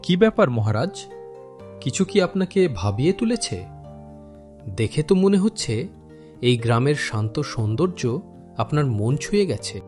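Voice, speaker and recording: A man playing a loyal, thoughtful royal minister in a cartoon fairy tale, speaking respectfully in measured, persuasive phrases. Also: medium pitch, very animated, darkish tone, soft background music, close to an everyday voice. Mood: attentive.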